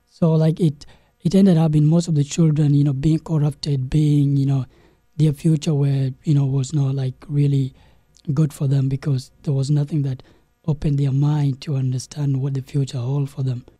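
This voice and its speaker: A young man from South Sudan, a game developer guest in an interview, telling his story in a halting way with many restarts and fillers. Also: on the high side, flat delivery, dark tone, husky, no echo. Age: young adult.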